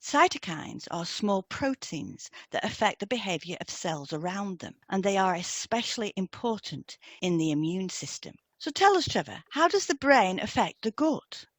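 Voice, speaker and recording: A woman co-presenting a scripted educational lesson in a measured, deliberate reading style. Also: medium pitch, sing-song, no room sound.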